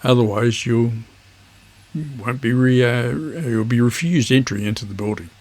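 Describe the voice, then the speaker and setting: A man with a husky voice, presenting an instructional vlog in measured phrases. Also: mid-range voice, a little mellow. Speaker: amateur radio video host.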